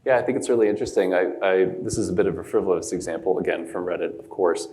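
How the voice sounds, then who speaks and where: A man's voice: low voice, quick pace, a little mellow. A man moderating a panel, asking questions with curious hesitation.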